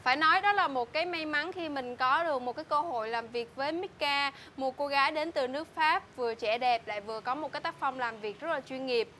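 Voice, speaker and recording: Quick, bright-voiced woman. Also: high-pitched, faint music behind. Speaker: colleague praising the guest. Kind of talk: interview testimonial.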